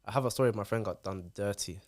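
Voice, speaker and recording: A man, a podcast guest telling stories in casual chat, animated and full of slang. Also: on the low side, quick pace. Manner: animated storytelling, London slang.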